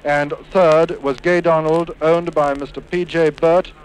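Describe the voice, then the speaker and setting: A man's voice: a little high, steady tone, measured pace. A British man giving formal, precise live horse race commentary in a 1950s broadcast style. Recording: noisy 1950s 78 rpm record.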